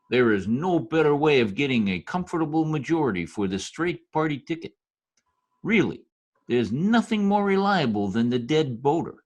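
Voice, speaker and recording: Man acting a scheming adviser, husky voice, lively swings, wordy and deferential, radio comedy. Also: on the high side, heavily acted. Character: smooth-talking, scheming political adviser to a dictator. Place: home room, recorded over video call.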